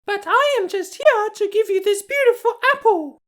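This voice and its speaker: A man voicing a sly, wicked old queen disguised as an old woman in a storybook, with a shrill theatrical falsetto. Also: moderate pace, a bit bright. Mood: wheedling, sly. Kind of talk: storybook character voice.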